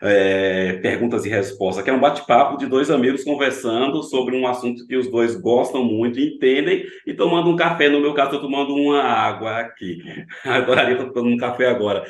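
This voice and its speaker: A man's voice: medium pitch, brisk. A man from Pernambuco, hosting a casual interview show, with friendly, exclamatory reactions. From Pernambuco, Brazil. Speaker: interview host. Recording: echoey room.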